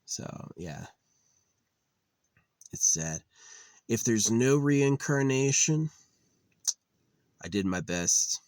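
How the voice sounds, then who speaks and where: A man's voice: mid-range voice, lively intonation, extremely slow, long pauses, darkish tone, no echo. A man in his late thirties musing in a rambling, weary monologue, with lots of fillers and restarts. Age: 30s. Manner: weary, resigned. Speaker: channel host.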